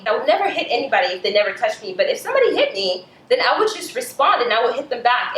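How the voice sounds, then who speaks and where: High-voiced young woman in an echoey room. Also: a bit bright. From Cameroon. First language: French. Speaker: vlog host. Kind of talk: casual chat, question and answer. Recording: roomy echo, clear background noise.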